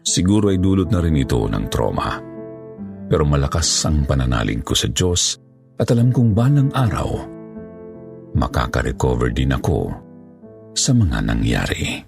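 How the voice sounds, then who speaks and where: A man's voice: very deep voice, very animated, normal speed, vocal fry, pauses often, music in the background. A man narrating a scripted horror story from a letter sender, in a solemn, dramatic storytelling manner.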